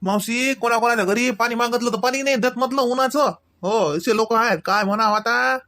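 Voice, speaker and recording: A man's voice: very high voice, brisk, pushed and bright, no echo, full character voice. A man playing a humble, polite village delivery driver in a comedy cartoon, folksy and plain-spoken, with a Varhadi Marathi accent. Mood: friendly.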